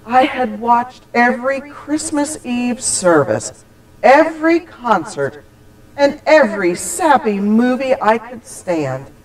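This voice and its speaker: A woman telling a scripted personal holiday story, with deliberate, expressive, dramatic phrasing. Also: fairly high pitch, rough recording. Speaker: church storyteller.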